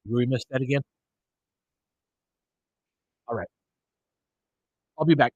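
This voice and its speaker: A man's voice: mid-range voice, slow pace, dry recording, lo-fi sound. A man giving live basketball commentary and analysis in an informal, conversational way. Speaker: play-by-play commentator and host.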